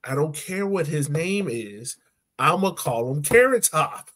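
An American man hosts a relaxed sports show over a video call from home. His voice sits a bit high, and he talks in a joking, opinionated style. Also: unhurried. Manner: jokey, opinionated. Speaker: podcast host. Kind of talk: casual sports chat. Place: room at home.

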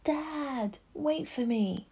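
A woman's voice: sits high, lively intonation, drawn-out words, warm tone, pitched above the performer's own voice, heavily acted. A woman voicing a curious, playful little farm boy in a storybook, with simple, earnest phrasing. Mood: eager, curious.

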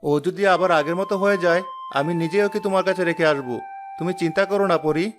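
A man voicing a kind, patient young village fisher boy in a cartoon, with a gentle, reassuring manner. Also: sits high, fast, over music, close to an everyday voice. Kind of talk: animated cartoon dialogue.

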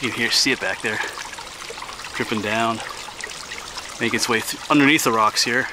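Chatty man hiking a forest trail. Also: mid-range voice. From Pennsylvania. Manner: relaxed, chatty, pauses for breath. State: slightly out of breath. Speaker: hiking vlogger. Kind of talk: casual narration while hiking. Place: winter forest trail outdoors. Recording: outdoor noise on camera mic.

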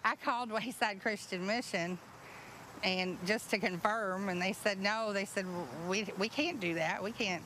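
A woman's voice: mid-range voice, measured pace, pushed and bright, a little hiss or hum, poor audio. An older woman interviewed outdoors on a city street, indignantly recounting what hospital staff told her. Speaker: interviewee, patient's mother. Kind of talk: news interview.

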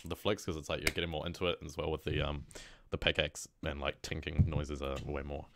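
Excitable young New guy, very low. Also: brisk, gravelly, noisy background. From New Zealand. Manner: excitable, laughs often, slangy. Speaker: music reaction host. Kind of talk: excited casual reaction commentary. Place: small room indoors.

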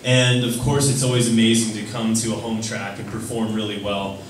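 A man's voice: fairly low pitch, little pitch movement, fairly quick. A young man speaks earnestly at a press panel, now and then groping for words. His plain mid-range voice comes from a distance and rings around a large, echoing room with faint noise. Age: young adult. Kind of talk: answering questions at a press conference. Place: large echoey indoor hall. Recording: echoey hall recorded from far off.

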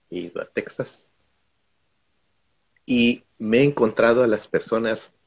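A man's voice: lowish voice, gravelly, husky. A middle-aged man from Guatemala, a guest on a video call, telling stories from his own life in a reflective way. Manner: reflective, storytelling. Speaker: guest speaker.

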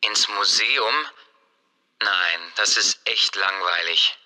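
An actor playing a casual man inviting a friend out, spoken slowly and clearly for a scripted language test. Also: low-pitched, fairly even intonation, pushed and bright, big-room sound, heavily acted. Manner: slow, deliberate, clearly spoken.

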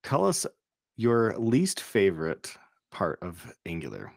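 A middle-aged man hosts a casual interview with upbeat, quick reactions. His voice sits fairly low. It is picked up dry and close on a boom mic in a home studio. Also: huge pitch swings, vocal fry, no room sound. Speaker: interview host. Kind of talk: casual video-call interview. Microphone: boom studio microphone with headphones.

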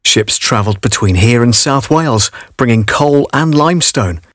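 British male voice-over, middle-aged, measured scripted storytelling read, medium pitch, dry booth sound. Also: animated, a bit bright, dry recording. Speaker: voice-over artist. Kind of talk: scripted documentary narration for a promotional video. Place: vocal booth. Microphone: studio condenser microphone.

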